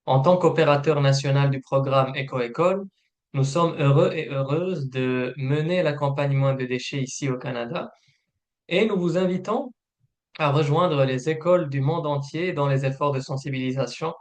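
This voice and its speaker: A man presenting a scripted informational webinar in a formal, hesitant manner with frequent filled pauses. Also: medium pitch. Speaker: program manager presenting a webinar.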